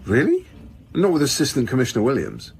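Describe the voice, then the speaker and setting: A joking, wily police boss in a radio play. He is blustery and insinuating, with a pitch that moves about a lot. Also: wildly swooping pitch, fast talker, creaky, a little mellow, breathy edge, exaggerated voice. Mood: jovial. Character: jocular, wily male senior police commissioner. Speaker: actor in a radio drama. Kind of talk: naturalistic radio drama acting.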